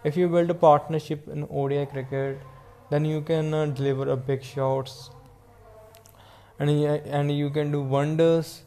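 Slow, even-toned man with an Indian accent. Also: a little high. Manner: rambling, halting delivery. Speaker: podcast host. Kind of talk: casual solo sports commentary podcast.

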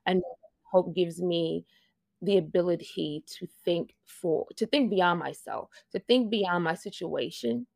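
Earnest Louisiana-accented woman, low voice. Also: measured pace, creaky, darkish tone, no echo. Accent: Louisiana Southern. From Louisiana, USA. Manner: earnest and reflective, laughs often, restarts phrases. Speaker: interview guest, fashion project manager. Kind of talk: casual conversational interview.

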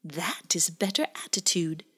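A woman voicing a stern, mysterious swamp fairy in a hushed, breathy whisper, slow and knowing. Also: fairly low pitch, lively intonation.

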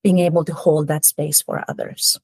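A woman from Greece, a native Greek speaker, talking earnestly and gently as a podcast guest about her healing work. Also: low-pitched, flat delivery, normal speed, husky, no room sound. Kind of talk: interview conversation.

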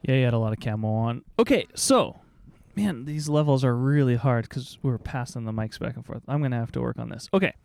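A man in his thirties hosts a relaxed family podcast and steers his kids along with patient questions. His voice sits in a middle range and comes across smooth and clear. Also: mid-range voice, brisk. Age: 30s. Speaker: podcast host, father. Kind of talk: casual family podcast chat.

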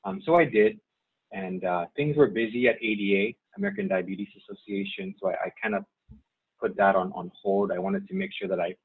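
A deep-voiced man from Saipan, Chamorro his first language, talks through an interview slowly and haltingly, circling back with ums and restarts. His voice is husky and low. It comes through a thin, phone-like line. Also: fairly even intonation. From Saipan, Northern Mariana Islands. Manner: hesitant, many fillers and restarts. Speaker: guest, nonprofit staff member. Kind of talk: casual interview.

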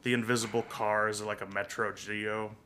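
A man's voice: lowish voice, flat delivery, normal speed, crowd noise. A man co-hosting a comedy podcast in casual, crude chat, rambling and stumbling over his phrases.

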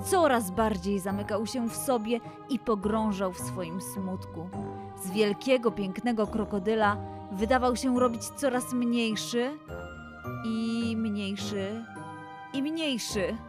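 A woman narrating a scripted children's story in an expressive, dramatic way. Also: mid-range voice, a little slow. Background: music.

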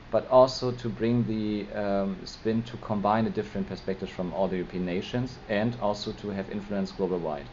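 A middle-aged German-accented expert, native in German, dialing into a podcast on a muffled, faintly noisy link to explain things point by point. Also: male voice, on the low side, darkish tone. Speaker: interviewed data privacy law expert. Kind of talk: explanatory interview answer. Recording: dull lo-fi link, faint noise.

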